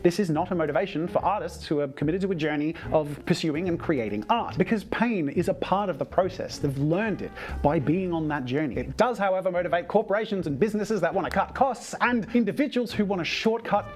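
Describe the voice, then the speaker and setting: Higher-voiced man narrating a video essay. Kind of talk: scripted argumentative narration.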